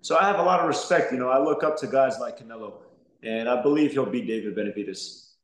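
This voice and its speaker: A man's voice: medium pitch, quick pace. A young man, a professional boxer, giving earnest interview answers that stumble and repeat words often. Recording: roomy echo.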